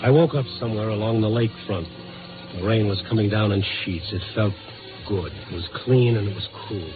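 A male actor is a tough, stubborn newspaperman in a fifties noir radio serial. He speaks low, with sardonic, clipped phrasing. It sounds like a narrow-band vintage broadcast. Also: brisk, over music, close to an everyday voice. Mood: brooding, weary. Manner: sardonic, hard-boiled, clipped. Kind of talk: 1950s radio noir drama with narration.

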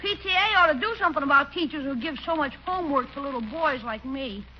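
Cheeky young nephew, played by a woman, very high slangy voice, whiny, through a muffled vintage radio recording. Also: fast talker, a little hiss or hum, natural voice. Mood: whiny excuses. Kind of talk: 1940s radio comedy acting.

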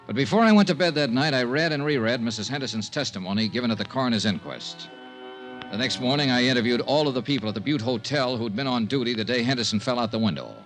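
An actor playing a shrewd, persistent insurance investigator in a 1950s radio drama, probing and wry. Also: male voice, mid-range voice, expressive, fast, faint music behind, natural voice. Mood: dry narration.

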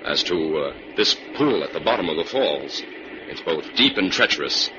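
An actor playing a calm, assured crime-fighting scientist in an old-time radio drama. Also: male voice, deep voice, moderate pace. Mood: calm, explanatory. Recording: muffled, noisy old radio recording.

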